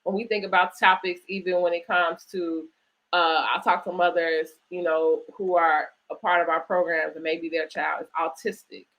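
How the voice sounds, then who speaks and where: A woman hosting a conversational interview, speaking reflectively in halting phrases and often checking in with "right?" or "you know". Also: on the low side, flat delivery, fairly bright tone, poor audio. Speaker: host interviewing an author. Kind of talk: conversational interview at a virtual book event.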